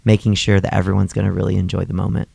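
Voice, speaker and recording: A man's voice: low-pitched, little pitch movement, very fast, vocal fry, mellow, husky, no echo. A young adult man co-hosting a podcast, a comedian and drag performer, chatting casually with many fillers and restarts. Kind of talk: casual chat.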